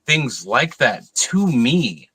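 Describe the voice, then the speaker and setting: A man chatting casually on a podcast panel, haltingly repeating phrases for emphasis. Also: mid-range voice, very animated, bright, forceful tone, husky, no room sound.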